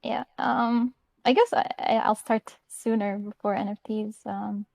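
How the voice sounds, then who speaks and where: Mellow-voiced woman from Croatia. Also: mid-range voice, fairly even intonation, a little slow, vocal fry, no room sound, clean studio sound. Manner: easygoing, chatty, light laughs. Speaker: guest artist. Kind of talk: casual interview answers.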